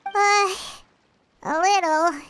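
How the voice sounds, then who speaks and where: A woman voicing a small, excitable, childlike fairy companion in a video game, squeaky and earnest. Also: drawn-out words, a little hiss or hum, heavily acted. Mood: awkward, sheepish. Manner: speaks of herself in third person.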